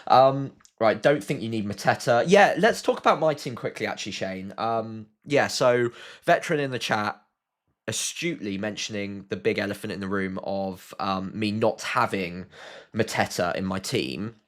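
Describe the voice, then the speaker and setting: A man's voice: lowish voice, expressive, moderate pace, a bit bright. A British man hosting a casual live stream, chatting and thinking aloud as he answers viewers, slightly sniffly with a cold.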